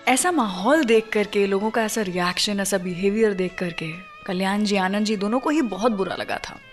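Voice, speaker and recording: A woman's voice: medium pitch, moderate pace. A woman with an Indian accent hosting a radio show, telling stories conversationally and addressing listeners directly with emphatic phrasing. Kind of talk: conversational storytelling radio presenting. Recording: music bed behind the voice. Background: background music.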